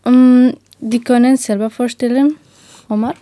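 Young woman from romania hosting radio chat, slightly high voice, very dry close sound. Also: fairly even intonation, unhurried, dark tone, no echo. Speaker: radio show host. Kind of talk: moderating a group radio talk.

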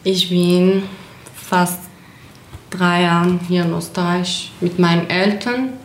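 A young woman from Syria, hosting a community radio interview in slow, careful speech with small grammar slips. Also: fairly low pitch, fairly even intonation, slight background noise. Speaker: radio show host. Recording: roomy echo.

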